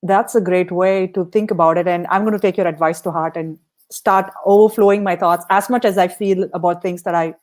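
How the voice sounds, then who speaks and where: A woman's voice: lowish voice, steady tone, brisk, a little mellow. A woman podcast host interviewing in a conversational style, reflecting on what the guest says with appreciative, affirming replies.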